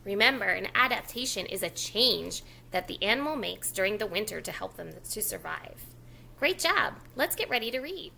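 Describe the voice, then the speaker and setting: A woman reads a picture book aloud as a lesson for small children. Her voice sits fairly high and moves with lively swings, often dropping into creaky fry. She explains simply and instructively, with faint noise behind her. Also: normal speed. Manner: instructional, addressing young children, repeating key words with prompts and praise. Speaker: preschool educator reading a picture book to children. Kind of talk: children's read-aloud lesson with call-and-response prompts.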